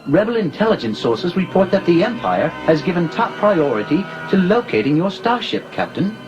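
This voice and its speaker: A fussy golden protocol droid whose pitch swoops up and down as he talks. He is prim and very proper, and music plays behind him. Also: big pitch swings, moderate pace, lo-fi sound.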